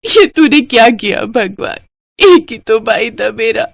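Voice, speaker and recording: A woman voicing a gentle, humble young sister in a cartoon moral story, with a plaintive, pleading manner. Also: a little high, expressive, measured pace, gravelly, exaggerated voice. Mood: tearful grief. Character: grieving, gentle young sister-in-law, humble coconut water seller.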